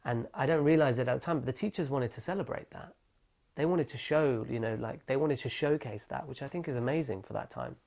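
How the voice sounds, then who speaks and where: A young man from England, telling his life story in an oral history interview. He speaks thoughtfully, often restarting mid-phrase as he reflects, and there is a slight gravelly fry at the ends of his phrases. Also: mid-range voice, brisk, darkish tone. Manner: thoughtful, self-correcting, reflective.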